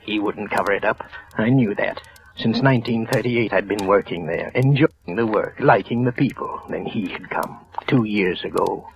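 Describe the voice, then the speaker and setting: An actor playing a nervous, trembling office clerk who narrates in a hushed, tense voice, often sinking to a whisper, in a 1940s radio drama. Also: male voice, lowish voice, moderate pace, creaky, a little hiss or hum, poor audio. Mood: tense, brooding. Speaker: narrator and lead character. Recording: old radio broadcast with music.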